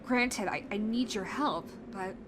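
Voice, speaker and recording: Casting: actress for tough but caring young woman fighter, zombie-apocalypse audio roleplay, medium register, sincere halting delivery, noisy background. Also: medium pitch, lightly acted. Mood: surprised, concerned. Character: determined, protective young woman fighter, survivor of a zombie outbreak. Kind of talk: dramatic audio roleplay addressed to the listener.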